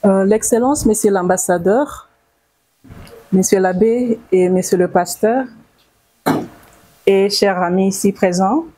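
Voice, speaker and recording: A middle-aged woman with a Congolese accent giving grave, emphatic testimony to a gathering, with halting phrasing. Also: mid-range voice, warm tone, poor audio. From Bukavu, South Kivu, DR Congo. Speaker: testifying survivor and audience speaker.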